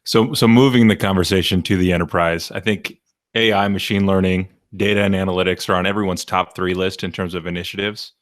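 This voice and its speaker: Man, podcast host, very low voice, measured questions. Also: fairly even intonation. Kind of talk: interview questions.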